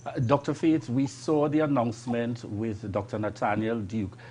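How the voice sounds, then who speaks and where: A man's voice: medium pitch, steady tone, normal speed, background noise. A man with a Tobagonian accent co-hosting a morning show, asking questions in a formal, wordy way. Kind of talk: broadcast interview questioning.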